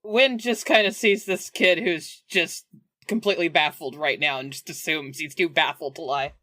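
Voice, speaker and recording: A woman's voice: deep voice, normal speed, bright, forceful tone. A woman playing a tabletop roleplaying game on a podcast, speaking casually in and out of character.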